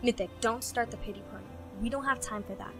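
A woman's voice: a little high, fast, over music, close to an everyday voice. A woman playing a proud, determined young cartoon princess with a firm, commanding manner.

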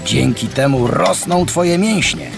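An actor voicing a gentle, patient pig father in a cartoon. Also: medium pitch, expressive, slow, vocal fry, full character voice. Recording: studio cartoon audio with music behind.

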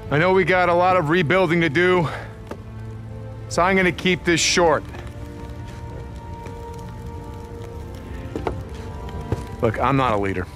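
An actor playing a rugged, plainspoken American biker drifter in his thirties in a video game cutscene, halting and informal. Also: on the high side, natural voice. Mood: earnest, reluctant. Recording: loud cinematic music behind.

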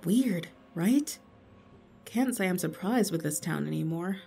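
A woman's voice: on the low side, animated, measured pace, creaky, warm tone, soft background music. A woman acting a suspicious, wry police investigator in an audio drama, matter-of-fact and dry.

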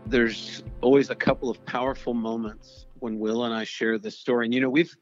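A man's voice: mid-range voice, level tone, brisk, dull audio, soft background music. A man earnestly recounting a personal story, stumbling and repeating words as he searches for them. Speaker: storyteller guest. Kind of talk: personal testimony interview.

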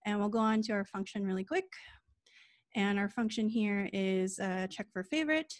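A woman walks through a coding demo over a video call in a casual, informal way. She talks quickly and corrects herself on the fly. Her sound is dry and close. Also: mid-range voice, no echo. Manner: quick, informal, with small laughs and self-corrections. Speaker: lightning talk speaker.

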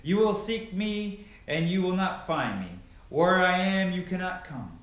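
A man voicing a solemn male preacher addressing a crowd, slow and emphatic, recorded in an echoey bare room from a far camera. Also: high voice, animated, pitched above the performer's own voice.

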